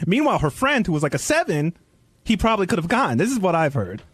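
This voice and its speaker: A man's voice: high voice, big pitch swings, fast talker, a bit bright, slightly rough, no echo. A man on a radio panel, retelling stories in casual, gossipy studio chat.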